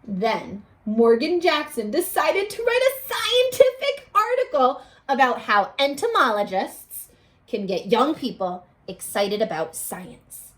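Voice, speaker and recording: A woman teacher reading a picture book aloud to young children, expressively, pausing to put questions to them. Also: high voice. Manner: animated, addressing children with questions and pauses.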